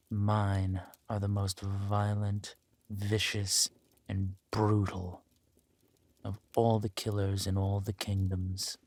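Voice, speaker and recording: A man playing a ruthless, vengeful warlord in an audio roleplay, speaking deliberately and with menace. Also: on the low side, a little mellow. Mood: cold menace. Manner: slow, deliberate, menacing delivery. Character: ruthless villain warlord lord, vengeful, possessive lover. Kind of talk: dramatic villain roleplay acting.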